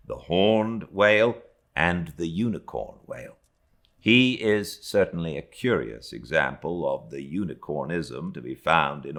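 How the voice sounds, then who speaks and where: A man with a British accent and a deep voice reads classic literature aloud. He takes his time, moving through the long sentences slowly. His delivery is theatrical, with pitch that rises and falls in a lively way. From Britain. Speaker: audiobook narrator. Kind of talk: literary audiobook narration.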